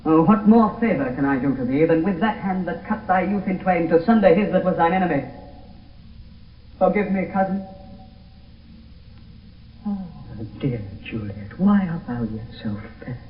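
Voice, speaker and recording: Tragic young lover, impassioned Shakespearean declamation, echoing vault sound, hissy vintage radio drama. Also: male voice, sits high, expressive, moderate pace, pauses often, far from the mic. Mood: tender despair. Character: grief-stricken, desperate young male lover at a tomb. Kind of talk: 1970s radio Shakespeare acting, verse. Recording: muffled, echoey, hissy old radio broadcast.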